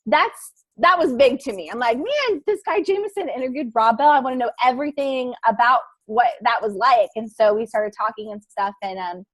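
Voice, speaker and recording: Woman, a bit high-pitched, casual video-call guest, rambling explainer. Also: normal speed, rough recording. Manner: rambling, searching for words, laughing. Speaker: podcast guest speaker. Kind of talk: casual how-to chat in an online meeting.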